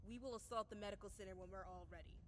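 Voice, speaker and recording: A woman playing a bossy, impatient military squad leader in a video game mod, giving brisk, commanding lines. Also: medium pitch, steady tone, a bit bright, dry recording, close to an everyday voice. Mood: resolute, impatient.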